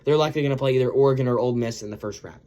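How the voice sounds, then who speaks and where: A slightly hoarse man talks through football results from a home mic, his voice a little worn from yelling. He rambles, restarts sentences, and keeps calling out to his viewers as guys. His pitch sits in a normal middle range for a man. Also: racing. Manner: rambling, self-correcting, lots of "guys" and "um". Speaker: sports video host. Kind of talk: casual sports recap commentary.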